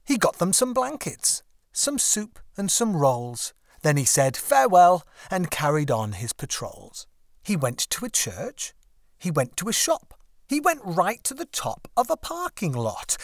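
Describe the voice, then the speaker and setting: A male audiobook narrator tells a children's story with lively, swooping intonation. His pace is slow and deliberate, with expressive phrasing. The recording is close and dry. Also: on the high side, no room sound.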